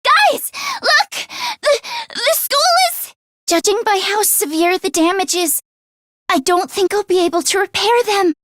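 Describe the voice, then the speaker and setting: A woman voice acting an earnest, anxious teenage schoolgirl in an English video game, with expressive, emotionally heightened delivery. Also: very high-pitched, a little slow, no room sound, exaggerated voice. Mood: alarmed.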